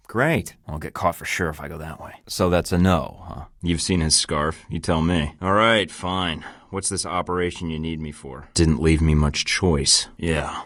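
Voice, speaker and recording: A young male secret agent in a studio video game, speaking in a bass-deep register. He stays dry, wry and unbothered, and tosses off sarcastic quips. The delivery is clean studio game dialogue. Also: a bit bright. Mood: cocky. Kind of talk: game voice lines.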